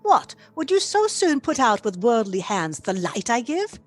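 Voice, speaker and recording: A woman playing an ageless spirit, gentle yet commanding, with stately, solemn delivery in a radio play. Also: fairly high pitch, very animated, a little slow, faint music behind. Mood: indignant. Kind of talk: theatrical radio play acting.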